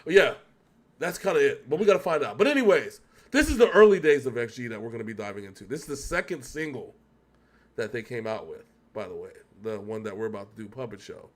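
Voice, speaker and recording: A man's voice: mid-range voice, expressive, fast talker. A man hosting a casual video reaction, speaking in an emphatic, rambling way as he thinks aloud. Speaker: reaction show host.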